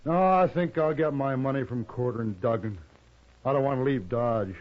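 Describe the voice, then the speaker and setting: An actor playing a cold, taunting hired gunman in a 1950s radio western, speaking in terse, unhurried lines. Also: mid-range voice, lightly acted. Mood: smug, taunting. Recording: muffled, noisy 1950s radio recording.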